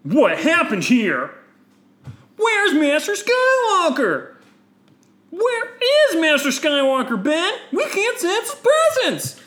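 An older Jedi woman, shrill and melodramatic. The voice swoops very high and pleads in alarm, stretching words out for comic-book drama. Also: huge pitch swings, takes it slowly, a bit bright, echoey room, full character voice.

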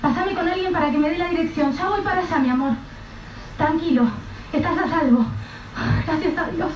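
A woman's voice: extremely high pitch, a little fast. An anxious Rioplatense mother. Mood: frantic. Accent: Rioplatense Spanish. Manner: urgent, emotional. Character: anxious, desperate mother, wife. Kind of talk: radio drama acting. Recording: echoey, distant, dull, faint hiss.

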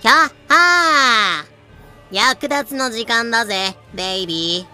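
A woman's voice: on the high side, animated, takes it slowly, sharp tone, faint music behind, heavily acted. A woman playing a bloodthirsty yet composed female pirate captain in an audio drama, commanding and teasing. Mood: gleeful, rowdy. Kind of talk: fan-made audio drama acting.

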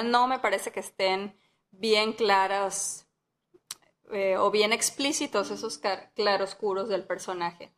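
A woman chatting about books on a podcast. She talks in a lively way, laughs easily and reads passages aloud between her comments. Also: mid-range voice. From Mexico. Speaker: podcast co-host. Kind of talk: casual book chat.

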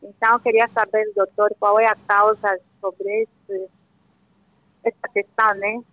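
A woman's voice: mid-range voice, level tone, a little slow, bright, forceful tone, dry recording. A 28-year-old woman with an Angolan accent, calling a radio phone-in and casually explaining a personal problem to a doctor. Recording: dull lo-fi phone line.

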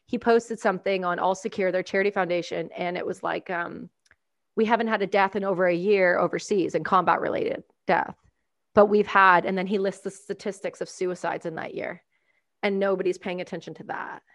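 A woman's voice: on the low side, steady tone, brisk. A woman from Canada, hosting a casual podcast chat, who talks bluntly, swears freely and rants. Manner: blunt, profane, ranting.

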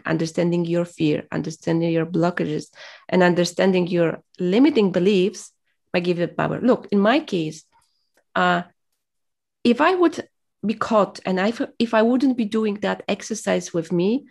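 A woman's voice: lowish voice, creaky, darkish tone. A woman whose first language is Polish, speaking as an interview guest in a reflective, motivational way, hesitant and full of fillers as she searches for words.

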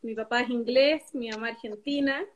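A woman's voice: on the high side, fairly even intonation, normal speed. A woman from southern Chile with a Chilean accent, chatting informally and self-deprecatingly as an interview guest on a video call. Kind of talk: casual chat. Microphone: tablet on a video call.

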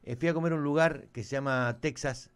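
A man with an Argentine accent co-hosting a podcast, telling a long anecdote and pausing to search for words. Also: on the high side, fairly even intonation. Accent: Argentine Spanish. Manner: rambling storyteller, many restarts and fillers. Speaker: co-host. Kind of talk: casual podcast chat, anecdote.

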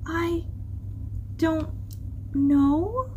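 Woman voicing a soft, hesitant, wistful whale. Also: a little high, very animated, slow pace, muffled recording, a little hiss or hum, voice pushed up. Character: greedy but wistful, soul-searching male whale.

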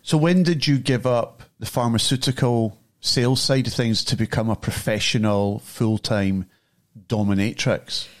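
A man's voice: medium pitch, steady tone, measured pace. A man co-hosting a casual chat, who backs up the guest and asks follow-up questions. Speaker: co-host.

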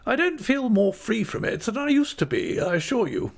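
An older aunt from a storybook, her voice pitched far up and swooping. She is brisk and emphatic, with a cheerful, affectionate, plain-spoken manner. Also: wildly swooping pitch, gravelly, heavily acted. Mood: cheerful, reassuring.

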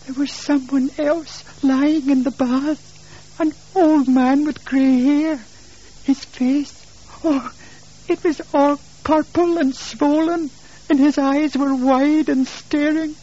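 A man voicing a terrified, pleading young boy in a narrated ghost story, breathless and drawn-out. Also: very high voice, fairly even intonation, dark tone, muffled recording, voice pushed up.

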